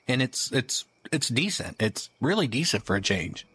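A man's voice: medium pitch, sing-song, normal speed, bright, forceful tone, slightly rough. A man hosting a gaming video, giving casual update commentary in a conversational, rambling way.

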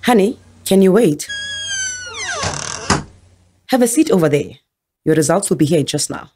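An actress playing a friendly, brisk clinic receptionist in a radio drama, polite and businesslike. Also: female voice, on the low side, lively intonation, mellow. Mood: polite, busy.

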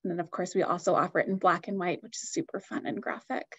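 A woman's voice: on the low side, fairly even intonation, fast talker, a bit bright, slightly rough, lo-fi sound. A woman giving an upbeat, enthusiastic product presentation on a video call.

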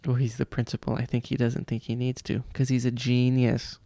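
Gravelly man reading a children's story. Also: medium pitch, monotone, brisk, warm tone, dry recording. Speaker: father reading aloud to his daughter.

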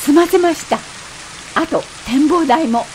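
A woman's voice: high voice, a little slow, a little mellow, slight background noise, rough recording. An actress playing a frank married woman fed up with her husband, in conversational, wry radio drama dialogue. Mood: curious. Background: rain.

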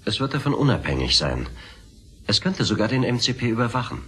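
An actor playing an earnest, precise software engineer in a radio play, matter-of-fact and a little defensive. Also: male voice, medium pitch, very animated, a little fast, husky. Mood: earnest, explanatory. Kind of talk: German radio play acting.

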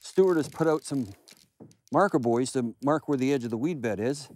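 A man's voice: mid-range voice, normal speed. An older man hosting a fishing show from a small boat on a lake, talking and exclaiming as he fights fish. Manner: excitable, laughing, exclaiming. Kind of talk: on-the-water fishing commentary.

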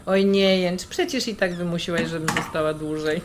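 A woman playing a brisk, teasing, worldly middle-aged sister in a naturalistic radio drama, blunt and bossy. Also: lowish voice, steady tone, close to an everyday voice. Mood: teasing, persuasive. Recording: clear kitchen noise and clatter. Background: cutlery, stirring, pots and pans.

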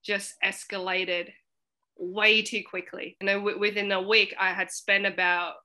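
A woman's voice: medium pitch, little pitch movement, a little slow, bright, forceful tone. A young woman on a casual video call from home, joking and self-mocking, laughing often. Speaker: co-host. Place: home room indoors. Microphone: webcam on video call.

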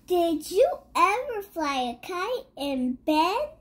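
A child reading a rhyming picture book aloud in a halting way, sounding out and repeating words. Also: very high-pitched, sing-song, fairly bright tone, a little hiss or hum. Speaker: young reader.

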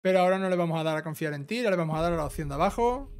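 A man's voice: on the high side, expressive, racing, clear, resonant tone. A man giving a casual step-by-step gameplay walkthrough, instructing and checking in with the listener as he goes. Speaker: video game guide host. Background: game music.

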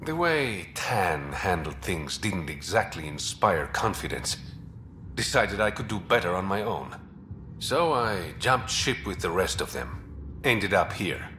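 An actor playing a roguish, smooth-talking young smuggler and spy in a video game, wry, teasing and self-assured. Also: male voice, deep voice, big pitch swings, measured pace, bright, forceful tone, echoey room, slight background noise, heavily acted. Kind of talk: video game character dialogue.

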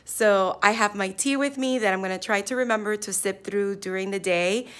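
A woman in her thirties, a fourth grade teacher, chatting upbeat into a phone held at arm's length in her classroom, her voice a little hoarse. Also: mid-range voice, moderate pace. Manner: chatty, upbeat, lots of "like" and "yeah". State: slightly hoarse, losing her voice. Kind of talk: casual vlog chat. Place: classroom, indoors.

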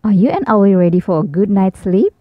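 A woman voicing a patient mother owl who coaxes her child gently, in a children's storybook read-aloud. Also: medium pitch, expressive, fairly quick, dry recording, lightly acted. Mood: gentle. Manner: gently coaxing. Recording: dull lo-fi sound.

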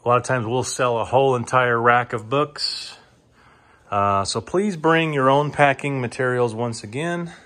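A man giving an informal auctioneer's walk-through of items for sale inside a house, speaking haltingly and repeating himself for emphasis. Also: mid-range voice, fairly bright tone. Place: indoors, moving through rooms of a large house. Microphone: handheld phone or camera, held by the speaker.

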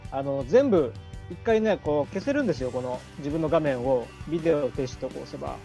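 High-pitched, animated Japanese comedy host man. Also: brisk, creaky, faint music behind. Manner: laughs often while talking. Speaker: host of online comedy show. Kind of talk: lively group banter on a livestream. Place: indoor room, video call. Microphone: video call mic.

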